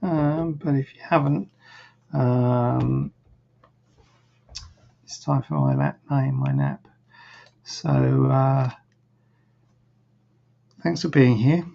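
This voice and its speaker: A plastic surgeon hosting a live online Q&A, answering questions in a rambling, hesitant way, and a bit croaky. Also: male voice, mid-range voice, lively intonation, extremely slow, pauses often, warm tone. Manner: rambling, hesitant, many fillers and restarts. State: a bit croaky, clearing throat. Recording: dull, lo-fi livestream audio.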